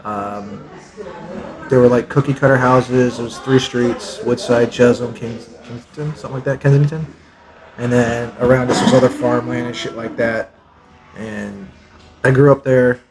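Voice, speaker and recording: A man's voice: medium pitch, steady tone, moderate pace, a crowd in the background, rough recording. A man in his forties from Texas, a soldier talking about his life in a casual podcast chat, blunt and jokey, swearing freely. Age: 40s. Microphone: podcast mic.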